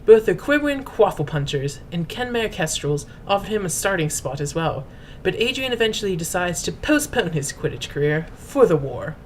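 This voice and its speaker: A woman's voice: fairly low pitch, a little hiss or hum. Pompous woman giving a theatrical reading. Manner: pompous, mock-grand, formal delivery. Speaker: host and reader. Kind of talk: theatrical dramatic reading of fan fiction.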